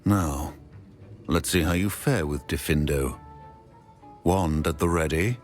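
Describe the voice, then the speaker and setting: Strict professor, very deep voice, measured dry delivery, game music behind. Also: male voice. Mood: stern. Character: stern middle-aged male professor, exacting. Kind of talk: video game cutscene.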